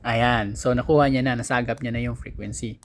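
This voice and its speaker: A man with a Filipino accent giving a casual product review in a conversational, halting way, often searching for his words. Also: mid-range voice, steady tone. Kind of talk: casual unboxing and review talk.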